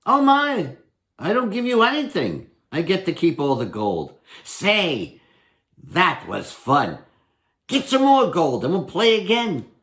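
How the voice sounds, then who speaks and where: A man's voice: high-pitched, wildly swooping pitch, takes it slowly, a bit bright, pitched above the performer's own voice, full character voice. A man voicing a wily, playful folk hero who coaxes with mock innocence in a storybook reading. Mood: mock sympathy.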